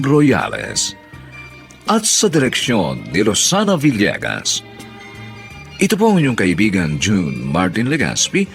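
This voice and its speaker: Filipino guy announcing a radio soap, slow n theatrical, super swoopy delivery, music behind. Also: fairly low pitch, creaky. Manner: theatrical, measured. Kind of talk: dramatic scripted narration.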